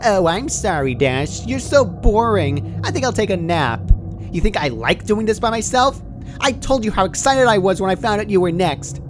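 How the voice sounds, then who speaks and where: A man's voice: sits high, big pitch swings, moderate pace, over music, voice pushed up. A man voicing a cheerful, childishly chatty, menacing female pony in a perky, scolding manner, reading a story aloud. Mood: pouty, scolding. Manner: perky, scolding, sing-song matter-of-fact chatter. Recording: clearly noisy home recording.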